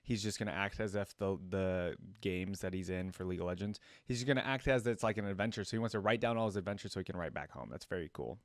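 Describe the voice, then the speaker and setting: A man reacting casually to game voicelines, talking like a relaxed video host. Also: sits low, quick pace, few pauses, no room sound, clean studio sound.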